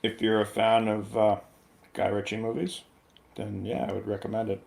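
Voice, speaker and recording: A man giving his opinion in a casual film review chat, hesitant and measured as he weighs his verdict. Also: lowish voice, little pitch movement, gravelly, darkish tone. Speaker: guest movie reviewer.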